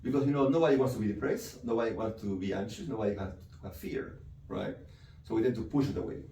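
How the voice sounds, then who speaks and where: A man's voice: fairly low pitch, quick pace, gravelly, dark tone. A man from Peru, a psychiatrist explaining his work in an interview, often tagging sentences with "right?" and "you know". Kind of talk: interview answers. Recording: echoey room, mic from a distance, faint noise.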